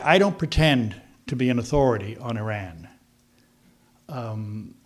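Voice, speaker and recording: Older man, former diplomat, unscripted policy talk, halting, lots of ums and restarts, mid-pitched voice. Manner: halting, many fillers and restarts.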